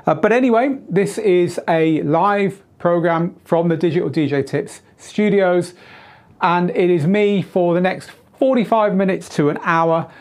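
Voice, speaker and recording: A middle-aged man with a tired, slightly hoarse voice, hosting a live-streamed DJ Q&A from a small studio on a lapel mic, chatty, with lots of fillers and restarts. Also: high-pitched, a little mellow. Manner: moves around gesturing at equipment while talking. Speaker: DJ tutor and live Q&A host. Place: small home studio with shelves of gear.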